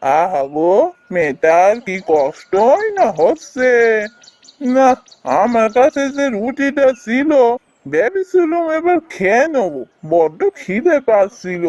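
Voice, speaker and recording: A woman's voice: a little high, big pitch swings, takes it slowly, darkish tone, dull audio. A woman playing a kind, earnest, hard-working village husband in a fairy-tale cartoon. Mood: concerned, kindly. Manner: earnest, caring. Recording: music behind the voice.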